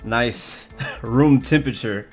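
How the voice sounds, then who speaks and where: A man's voice: mid-range voice, takes it slowly, soft background music. A man hosting a casual podcast, laid-back and slangy, laughing often. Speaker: host.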